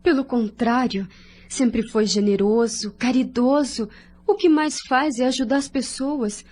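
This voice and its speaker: A woman's voice: fairly high pitch, a little slow, a little mellow. An actress playing a devoted, emotional wife and mother in an audio drama, with a Brazilian accent and an earnest, pleading manner. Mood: tender concern. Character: devoted wife, then grieving, despairing widowed mother. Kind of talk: melodramatic audio drama acting.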